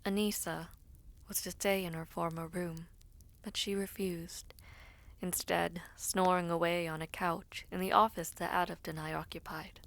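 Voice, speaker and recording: Woman doing a poised fantasy villainess, formal and devoted, slightly low voice, really slow and breathy, audio roleplay style. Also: level tone. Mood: somber, reflective. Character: formal, composed villainess, devoted adviser to a prince. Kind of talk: fantasy audio roleplay with first-person narration.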